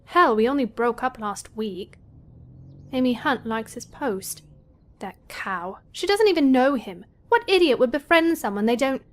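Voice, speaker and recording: Woman playing sardonic, self-critical teenage girl, higher voice. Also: expressive, measured pace. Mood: indignant. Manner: wry, grumbling inner monologue. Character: sardonic self-critical seventeen-year-old college girl. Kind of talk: audio drama acting.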